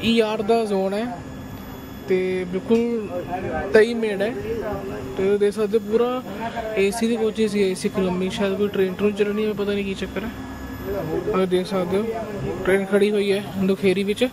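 A man's voice: sits high, level tone, moderate pace, pauses often, poor audio. A man chatting easily through a train journey vlog, recorded on a handheld phone. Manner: chatty, easygoing running commentary. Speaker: train travel vlogger. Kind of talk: casual travel vlog narration. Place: on a train and station platforms. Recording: crowded station noise. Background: busy station crowd and trains.

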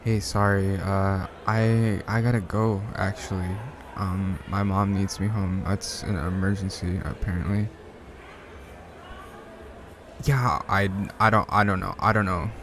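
A man acting a shy, smitten young man in an ASMR roleplay, speaking softly and hesitantly with bashful stammers. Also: low-pitched, level tone, normal speed, hardly any pauses, background noise. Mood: apologetic, hopeful. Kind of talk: ASMR roleplay, soft one-sided conversational acting.

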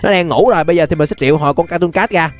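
A young man with a Southern Vietnamese accent giving excited, exclamatory gameplay commentary, repeating phrases and dropping in English interjections. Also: on the high side, rapid-fire, faint music behind. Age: young adult. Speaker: gaming YouTuber. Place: indoor gaming room.

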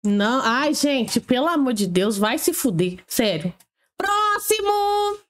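A young woman with a high, light voice talks over videos from her home streaming setup. Her remarks are blunt and sarcastic, and she throws in quick asides between clips. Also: sing-song. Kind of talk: casual sarcastic react commentary. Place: home streaming room, seated in gaming chair.

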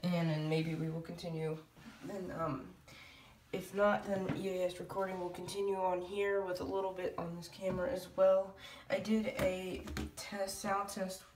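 A woman's voice: fairly low pitch, level tone, normal speed. A woman giving a casual vlog update to viewers in a hesitant, rambling way, recorded on a handheld device in a room at home. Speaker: hobby video creator. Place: small room at home, indoors. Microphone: handheld camera or phone mic. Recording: roomy, distant mic, light noise.

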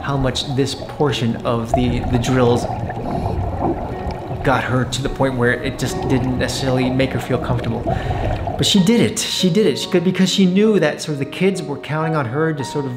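Middle-aged father, relaxed rambling travel vlogger, even mid voice, music bed under him. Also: mid-range voice, moderate pace. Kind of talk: casual family travel vlog narration.

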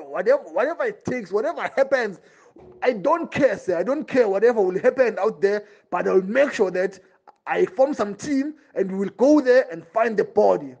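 A man's voice: high-pitched, vocal fry, darkish tone, heavily acted. A man playing a servile, desperate henchman in an amateur audio drama, deferential and repeating himself. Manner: pleading, repetitive, deferential. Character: servile, desperate henchman grovelling to his boss.

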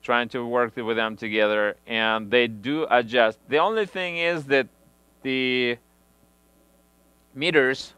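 A man in his thirties explains a repair step by step in a small home studio, his phrasing halting and full of restarts. His voice is smooth and clear at an ordinary pitch. The headset mic gives a close, dry sound. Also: pushed and bright, no echo. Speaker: audio equipment reviewer. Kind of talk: hands-on equipment demonstration.

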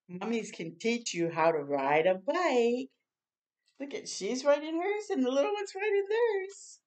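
An older woman hosting a children's storytime, reading a picture book aloud slowly and gently, pausing to react to the pictures. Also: fairly high pitch. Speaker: storytime host. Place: small room indoors.

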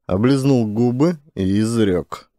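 A man's voice: mid-range voice, slow pace, dark tone, no echo, studio quality. A man narrating a dramatized humorous story as a trained actor reading from a script.

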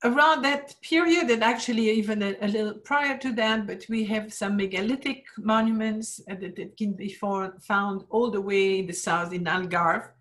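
A woman of middle pitch lecturing over a slideshow, unhurried and explanatory. She pauses often on "uh" while searching for words, and her voice drops away at the ends of phrases. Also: steady tone, fairly bright tone. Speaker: art history lecturer. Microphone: screen-share talk, webcam in corner.

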